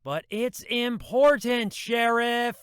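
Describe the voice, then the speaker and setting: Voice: mid-range voice, slow pace, clean tone, dry recording, clean studio sound, close to an everyday voice. A voice actor playing a friendly, eager, dim-witted male police officer in a horror audio drama. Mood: anxious, calling out. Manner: eager, folksy, bumbling.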